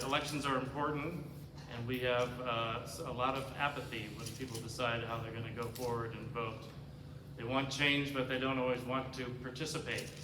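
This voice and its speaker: Male candidate, earnest and plain-spoken, with some fillers; roomy hall recording. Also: mid-range voice. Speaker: candidate at a forum. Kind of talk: prepared forum remarks. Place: large community cultural center hall. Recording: roomy hall reverb with background noise.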